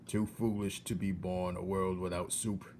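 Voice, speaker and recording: A man voicing a grumbling, solemn old male jailer in a storybook, who speaks gravely of himself in the third person. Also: low voice, flat delivery, moderate pace, darkish tone, slight background noise, close to an everyday voice.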